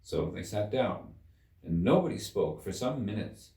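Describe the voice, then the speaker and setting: A man giving an expressive scripted narration of a story as its reader. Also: lowish voice, a little slow, gravelly. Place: room inside a museum. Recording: echoey room, distant mic.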